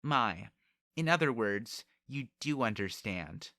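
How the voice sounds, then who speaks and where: A man's voice: mid-range voice, animated, slow, bright, forceful tone, no room sound, exaggerated voice. A man voicing a proud, cold young noblewoman who speaks formally and with condescension in an audiobook. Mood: smug.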